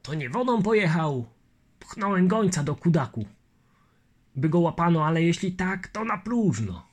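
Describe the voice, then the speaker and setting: A man's voice: on the high side, sing-song, normal speed, voice pushed up. A man voicing a frightened old colonel. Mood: alarmed. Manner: anxious, pleading, crying to Christ. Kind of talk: audiobook character voice.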